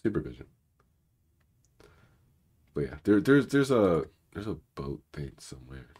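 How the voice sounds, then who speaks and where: A man hosting a casual live coding session. He is sick, and his naturally low, rumbly voice sounds raspier than usual. He rambles through the work with many fillers and mutters to himself. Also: very animated, measured pace, darkish tone. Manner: rambling, filler-heavy, mutters while clicking around. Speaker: cybersecurity channel host. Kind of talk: casual live coding walkthrough, reading viewer questions.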